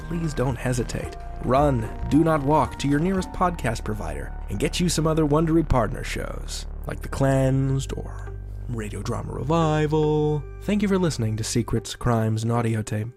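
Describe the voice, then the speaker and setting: A man's voice: on the high side, animated, moderate pace, a little mellow. A man hosting a podcast, reading scripted announcements in a theatrical, playful manner. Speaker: podcast host. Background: music.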